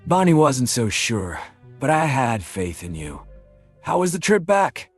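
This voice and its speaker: A man voicing Leo, a thickset hired enforcer who keeps a jovial tone while making quiet threats and calling people "mate", in an audiobook. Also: mid-range voice, very animated, measured pace, faint music behind, voice pushed up. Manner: cheery tone over quiet threats, says "mate".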